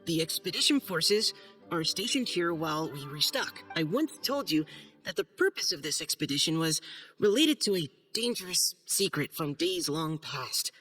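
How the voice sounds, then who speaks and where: Timid, bright-voiced young knight. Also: male voice, a little high, expressive, normal speed, husky, full character voice. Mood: earnest, careful. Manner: hesitant, nervous, stammering, formal. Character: timid, earnest young male knight surveyor. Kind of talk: video game acting, reading a letter aloud. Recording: faint music behind.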